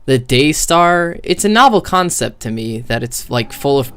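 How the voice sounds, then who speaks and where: A man doing casual, unscripted game commentary as a streamer, profane and opinionated, on a microphone turned up too high. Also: expressive, moderate pace, a bit bright, clean tone. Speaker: streamer critiquing a video game. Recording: overdriven, too-hot microphone.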